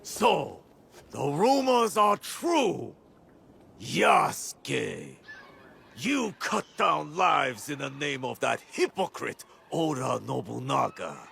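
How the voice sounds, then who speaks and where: Gravelly, grandiose rebel warlord. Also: male voice, medium pitch, sing-song, a little hiss or hum, exaggerated voice. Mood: scornful, defiant. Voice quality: gravelly, forceful. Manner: declamatory, slow, dramatic swings into shouting. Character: defiant, grandiose rebel warlord, scornful and theatrical. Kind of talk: dramatic video game acting.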